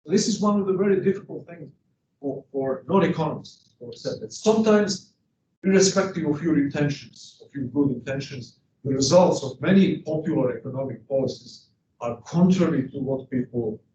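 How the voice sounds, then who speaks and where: A man's voice: on the high side, moderate pace, warm tone, slight background noise. Man lecturing from across a room. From Serbia. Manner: emphatic, poses rhetorical questions to the class. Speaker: economics lecturer. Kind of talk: classroom lecture. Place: classroom. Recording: distant, echoey, dull classroom recording.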